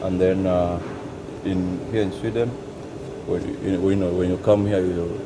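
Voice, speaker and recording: A man from Congo who grew up in Uganda, a bus mechanic answering questions in a halting way, often restarting and adding "you know". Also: low-pitched, little pitch movement, noisy background. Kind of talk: casual interview answers. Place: large vehicle workshop.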